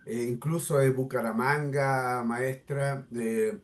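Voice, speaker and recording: A man's voice: mid-range voice, steady tone, takes it slowly. A middle-aged Chilean man with a Chilean accent, speaking formally in a discussion with many hesitant fillers and emphatic stresses. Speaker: interviewer. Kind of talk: formal discussion, addressing a researcher.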